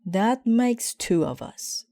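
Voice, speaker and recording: A woman voicing a calm, reasonable father bear in a storybook, speaking in a reassuring manner. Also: on the high side, slow pace, no room sound, pitched above the performer's own voice.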